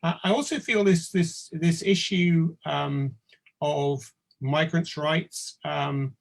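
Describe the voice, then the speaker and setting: Older male academic; somewhat high, gravelly voice, halting with many fillers. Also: steady tone. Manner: halting, many ums and uhs. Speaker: professor. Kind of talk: panel discussion.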